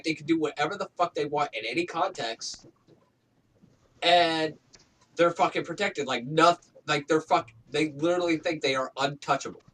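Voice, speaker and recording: Higher-pitched, lively sweary man, off-mic. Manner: blunt, heavily sweary. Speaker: show regular. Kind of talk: casual profane round table chat. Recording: distant mic, faint noise.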